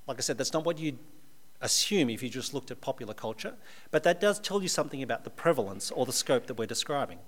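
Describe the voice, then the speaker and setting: A man's voice: medium pitch, expressive, fast. A man with an Australian accent, preaching a sermon to a live audience in a conversational way, with dry humorous asides.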